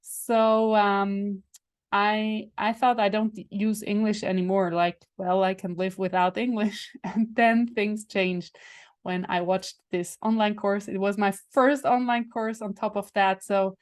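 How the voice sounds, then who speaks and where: A woman's voice: medium pitch, fairly even intonation, unhurried, clean tone. Swiss German woman hosting a podcast. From Switzerland. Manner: warm, hesitant, searches for words with fillers and restarts. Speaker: podcast host. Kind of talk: casual solo podcast announcement.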